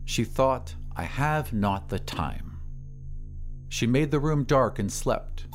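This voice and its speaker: A man giving a measured, deliberate and expressive reading of a literary story as a scripted dramatic narration. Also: medium pitch, soft background music. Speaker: narrator of an audio story podcast.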